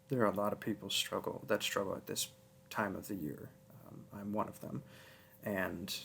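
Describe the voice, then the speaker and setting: A soft-spoken man giving a casual sign-off to listeners, earnest and a little hesitant. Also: lowish voice, creaky, a little hiss or hum. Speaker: audio roleplay creator.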